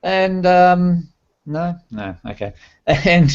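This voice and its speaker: A man's voice: high-pitched, animated, very slow, lo-fi sound. Chatty Scottish man on a video call. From Scotland. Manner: chatty, self-deprecating, laughs easily. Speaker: guest model railroader. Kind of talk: casual self-introduction on a live video call.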